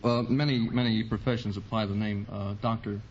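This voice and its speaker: A man's voice: lowish voice, steady tone, brisk. An American man in his twenties from Indiana, answering a quiz host earnestly in formal wording. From Indiana, United States. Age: 20s. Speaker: quiz show contestant. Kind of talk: unscripted interview answers. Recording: dull, faintly noisy vintage radio recording.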